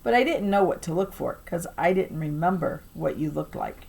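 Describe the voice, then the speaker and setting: A woman's voice: fairly low pitch, animated, moderate pace, vocal fry, a little mellow, husky. Woman reading a children's story aloud, emphatically. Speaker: teacher reading aloud to a class. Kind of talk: expressive children's novel read-aloud.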